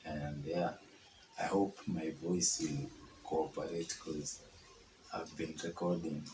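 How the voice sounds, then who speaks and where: A hoarse young man chatting informally as he critiques his old drawings, full of fillers. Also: very deep voice, little pitch movement, slow pace, roomy sound, noisy background. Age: young adult. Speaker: artist. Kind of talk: casual art tutorial chat.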